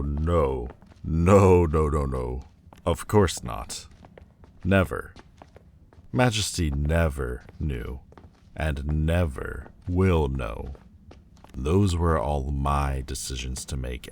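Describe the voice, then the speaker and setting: Fallen demon lord for audio roleplay, male actor: extremely low, slow, haughty and theatrical, wounded pride, hesitant pauses, some background ambience. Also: a little mellow, slight background noise, exaggerated voice. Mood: defensive. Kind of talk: dramatic ASMR roleplay monologue.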